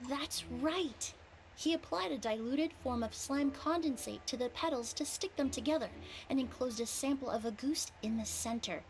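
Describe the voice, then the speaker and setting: A woman's voice: high-pitched, slight background noise, low-quality recording. A woman playing a poised, clever young investigator in an anime-style video game, explaining things precisely and with composure.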